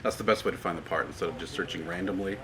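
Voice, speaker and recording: A man's voice: low-pitched, fairly even intonation, very fast, a crowd in the background. A man in his thirties with an American accent, a parts manager guiding a group through a warehouse and asking them questions. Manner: friendly, explanatory, asks the group questions. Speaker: parts manager giving a tour. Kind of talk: guided tour talk to a group. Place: large warehouse with tall shelving, indoors. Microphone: far camera held by a tour member. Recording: noisy warehouse from a handheld camera.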